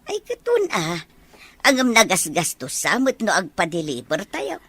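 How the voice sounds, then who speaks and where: A woman plays an affectionate older mother in an audio drama. Her voice is bright and slightly rough, with very lively rises and falls and an excitable, exclamatory manner. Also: mid-range voice, a little slow, exaggerated voice. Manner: expressive, exclamatory. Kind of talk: Ilocano audio drama dialogue.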